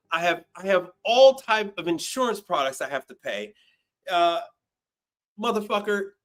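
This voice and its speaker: A man in his fifties hosting an unscripted livestream, talking bluntly and emphatically, repeating phrases and swearing freely. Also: sits high. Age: 50s. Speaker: livestream host, commercial appliance service technician. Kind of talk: unscripted livestream talk answering viewer questions.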